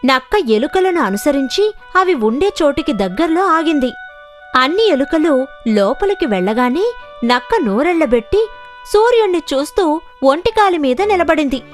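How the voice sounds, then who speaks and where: A woman narrates children's fables in a scripted storytelling style. Her voice is high and very animated, with music behind it. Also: moderate pace.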